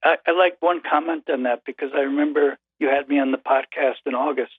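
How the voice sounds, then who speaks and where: An older man calling in by phone as the host's father, talking slowly and haltingly in a dry, reserved way. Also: on the high side, steady tone, slightly rough, dry recording. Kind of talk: casual phone chat. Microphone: phone call. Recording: tinny phone line.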